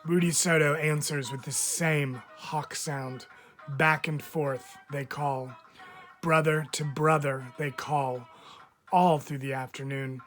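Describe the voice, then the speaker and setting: A man reading a picture book aloud as a teacher would, expressively, with deliberate dramatic phrasing and frequent pauses. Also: on the high side, steady tone, fairly bright tone. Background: background music.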